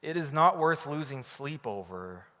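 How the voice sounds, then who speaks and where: A Canadian man preaching a sermon from the pulpit, telling a story in a lively, animated way. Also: on the high side, a little slow, big-room sound. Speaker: pastor.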